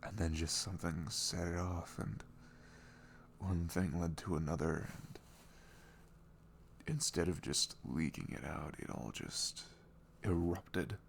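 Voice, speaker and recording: A man playing an emotional, remorseful ex-boyfriend in an intimate ASMR roleplay, speaking softly and haltingly as he pleads and confesses. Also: slight background noise, heavily acted. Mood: ashamed confession. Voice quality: soft, breathy, low murmur with creak.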